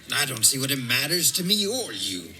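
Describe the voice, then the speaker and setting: A man's voice: medium pitch, very animated, fairly quick. A man playing a stubborn, scoffing rustic hobbit in an audio drama, mocking and dismissive. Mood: scornful. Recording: loud music behind.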